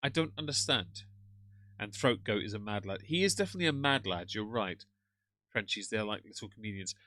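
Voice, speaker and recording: A man's voice: on the low side, animated, fairly quick, bright, forceful tone, no echo. A man with a British accent hosting a casual live stream, ranting sarcastically and greeting chat viewers. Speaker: livestream host reacting to another streamer.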